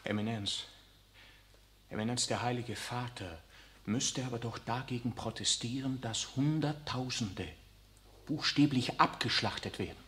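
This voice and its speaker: A man's voice: medium pitch, a little slow, a bit bright, natural voice. Male actor: earnest young priest pleading with a superior, radio play. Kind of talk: 1960s radio drama acting.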